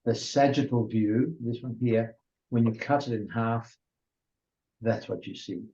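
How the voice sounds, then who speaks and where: Older male lecturer, first language Hungarian; slow, restarting delivery, dull lo-fi recording. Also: mid-range voice, creaky, warm tone. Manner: unhurried, with many restarts and fillers. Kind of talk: informal lecture.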